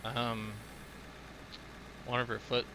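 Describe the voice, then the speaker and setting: A man's voice: mid-range voice, completely flat delivery, slow pace, noisy background. A man from Illinois with a Midwestern accent, chatting loosely with viewers while streaming a game. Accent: Midwestern American. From Illinois, USA. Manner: rambling, loose, filler-heavy talk to chat. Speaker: gaming streamer. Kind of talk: casual live-stream chat while playing.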